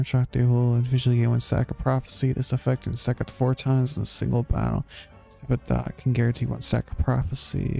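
A man's voice: mid-range voice, gravelly, dark tone. A man live-streaming a game, chatting casually, reading aloud and muttering reactions with casual swearing. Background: video game music and sounds.

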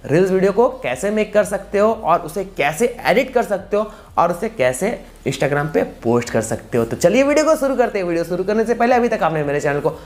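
A man's voice: high-pitched, very animated, brisk, hardly any pauses. A man in his twenties with an Indian accent, hosting a casual step-by-step tutorial in a repetitive, instructional way. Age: 20s. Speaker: YouTube tutorial host.

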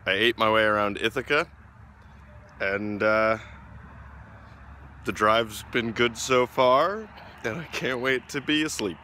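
A man's voice: mid-range voice, slow pace, pauses often, pushed and bright, slight background noise. A man in his twenties with an American accent, chatting in an upbeat, casual way for a video diary. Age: 20s. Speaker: vlogger.

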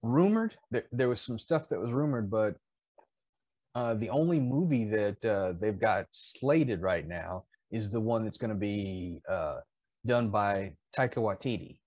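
A man in his fifties chatting casually as a podcast co-host, often pausing mid-thought. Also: on the low side, animated, darkish tone, no echo. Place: indoors in a home collectibles room.

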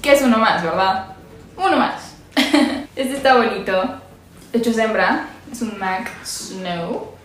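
A woman's voice: a little high, slow, pauses often. A young Mexican woman with a Mexican Spanish accent, chatting casually and giggling as she shows her reptiles in a small bare room, recorded on a camera mic at medium distance. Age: young adult. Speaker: reptile keeper vlogger. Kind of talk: casual unboxing vlog. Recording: echoey room with loud ambience.

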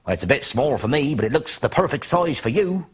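A man's voice: mid-range voice, sing-song, a little fast, breathy edge, pitched above the performer's own voice. A man voicing a kindly, chatty grandmother in a children's story, with a homely, encouraging manner. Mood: cheerful, encouraging.

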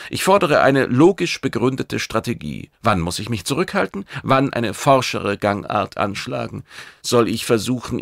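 A man's voice: mid-range voice, vocal fry, no echo, studio quality. A man voicing a brash, domineering alien warship commander in a science fiction audiobook, blunt and commanding. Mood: demanding.

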